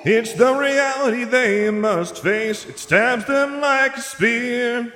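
A man's voice: extremely high pitch, slow, bright, forceful tone, pitched above the performer's own voice, full character voice. A man voicing a defiant young male singer who rouses a crowd with declamatory song and chants in an audiobook. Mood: defiant singing. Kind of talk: performed song and shouted rallying in an audiobook. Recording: echoey hall reverb with music behind.

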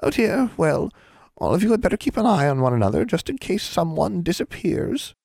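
Storybook hag voiced by a man, female, pitched well up, syrupy and officious with a threatening edge. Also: big pitch swings, brisk, vocal fry, a little mellow, breathy edge, dry recording, clean studio sound, heavily acted. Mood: sweetly menacing. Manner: indulgent, officious, crooning, mock-sweet. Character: cheerful, menacing hag official, huge misshapen woman. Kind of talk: storybook character voice.

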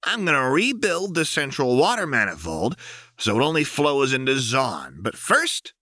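A man's voice: medium pitch, big pitch swings, moderate pace, sharp tone, no room sound, studio quality, pitched above the performer's own voice. A man voicing a manic, gleefully violent young woman crime baron in an audiobook, with a brash, taunting delivery.

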